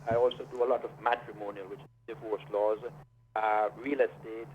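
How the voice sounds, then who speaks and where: A man's voice: lowish voice, little pitch movement, fairly quick, darkish tone. A man from Jamaica, a lawyer, explaining the law step by step as a guest on a radio show. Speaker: immigration and criminal defense lawyer. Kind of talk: radio interview over a phone line. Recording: dull lo-fi phone line with faint hiss.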